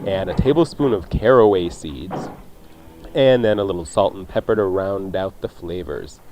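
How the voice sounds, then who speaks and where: A man's voice: sits low, moderate pace, a little mellow. A man hosting a radio cooking show, chatting casually and playfully as he jokes through recipes. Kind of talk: casual, jokey recipe banter.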